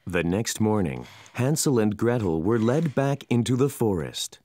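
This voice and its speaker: A narrator telling a children's fairy tale in an expressive, scripted storytelling style. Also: mid-range voice, measured pace, dry recording, soft background music.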